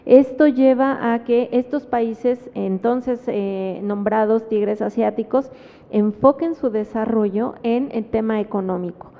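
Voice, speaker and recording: A woman with a Mexican Spanish accent gives an academic talk at a book presentation, pausing often and adding tag questions. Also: a little high, gravelly. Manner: thoughtful, with frequent fillers and tag questions. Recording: phone-like sound with long hall reverb.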